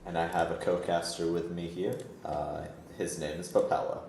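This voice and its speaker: A man with a very deep voice hosts an esports match. He commentates casually and chattily, in an unhurried, laid-back way. The sound is roomy and echoing, with music playing behind him. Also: little pitch movement. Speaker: esports tournament host and commentator. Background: background music.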